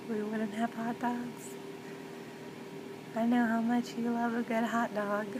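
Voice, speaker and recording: A woman acting as a loving mother comforts her child in a soft ASMR role play. Her delivery is slow and very even, tender and reassuring, often dropping close to a whisper. Loud ambient noise runs under the voice. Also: on the high side. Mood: gentle, soothing.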